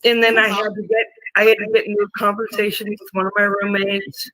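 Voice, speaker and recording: A woman in her fifties chatting casually on a livestream panel, rambling with halting restarts. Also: mid-range voice, little pitch movement, bright, forceful tone, dry recording, poor audio. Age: 50s. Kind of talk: casual group chat.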